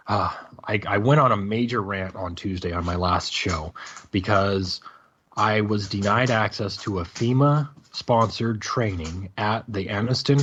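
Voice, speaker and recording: A man's voice: lowish voice, a bit bright, a little hiss or hum. A man talking casually and giving his opinions as a panel guest, on lo-fi audio.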